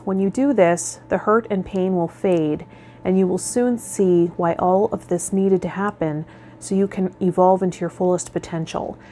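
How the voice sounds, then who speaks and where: Low-pitched woman, tarot vlogger, noisy recording. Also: mellow. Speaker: host of a tarot and spiritual healing channel. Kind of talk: tarot vlog, part read-out guidance, part informal talk.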